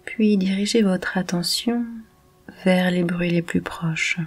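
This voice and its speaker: A woman guiding a yoga nidra meditation in a calm, measured, soothing manner. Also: lowish voice, faint music behind. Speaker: meditation guide.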